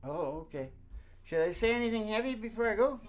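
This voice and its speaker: A man's voice: high voice, expressive, fairly quick. A man who performs and hosts a music show, chatting casually and rambling as he interrupts himself. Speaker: singer-guitarist hosting a music show. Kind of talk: casual rambling chat between live songs. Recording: dull lo-fi sound with acoustic guitar.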